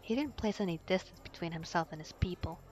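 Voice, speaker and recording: A woman's voice: lowish voice, quick pace, darkish tone, soft background music. A woman reading a visual novel aloud and chatting casually as she plays.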